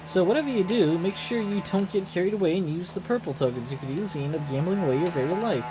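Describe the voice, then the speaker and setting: Guy doing a grave casino know-it-all from a game, explains stuff carefully, lighter pitched, music under it. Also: fast, close to an everyday voice, low-quality recording. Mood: grave, cautioning. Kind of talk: reading game story dialogue aloud. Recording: loud game music behind the voice.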